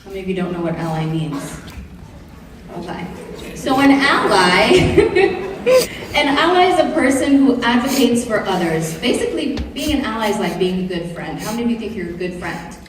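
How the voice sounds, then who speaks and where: Woman from Kenya, middle-aged, presents to students with lively, joking audience questions; heard from a distance in a long-reverb hall. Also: mid-range voice, normal speed, a crowd in the background. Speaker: presenter to high school students. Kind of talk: classroom presentation. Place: large room or hall. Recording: echoey hall, voice from far off.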